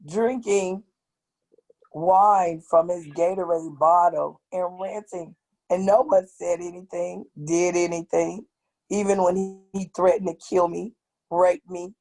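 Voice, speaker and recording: Hoarse, slow-talking woman with a raspy voice. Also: lowish voice, fairly even intonation, darkish tone, rough recording. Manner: slow, halting, with long pauses. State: hoarse from tear gas. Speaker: activist guest. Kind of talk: personal account in an online panel. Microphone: video call.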